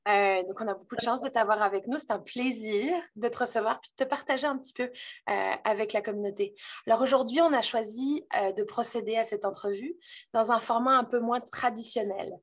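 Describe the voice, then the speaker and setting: Woman hosting, fairly high voice. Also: fairly quick. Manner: reads a prepared introduction with many hesitations. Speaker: interview host. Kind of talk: virtual interview hosting.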